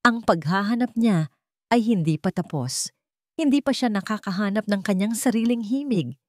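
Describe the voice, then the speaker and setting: A woman with a Filipino accent narrates a scripted story in a solemn, measured, dramatic way, pausing deliberately between phrases. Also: medium pitch, expressive, a little mellow, dry recording. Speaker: audiobook narrator.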